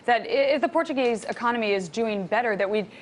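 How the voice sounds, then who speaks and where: A woman's voice: on the high side, fast, a little hiss or hum. A woman hosting a TV business segment, upbeat and chatty, reading her intro and asking her guest questions. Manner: upbeat, chatty, stumbles over names. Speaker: TV show host.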